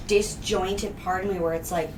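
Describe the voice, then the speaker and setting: A woman in her thirties talking in casual, expressive chat as a podcast guest. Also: lowish voice, moderate pace. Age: 30s. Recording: distant mic, background noise.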